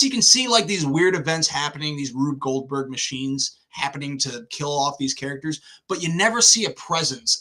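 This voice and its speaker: A man's voice: a little high, bright, forceful tone, low-quality recording. A man with a lisp hosting a film review show, talking casually and emphatically with plenty of slang and swearing.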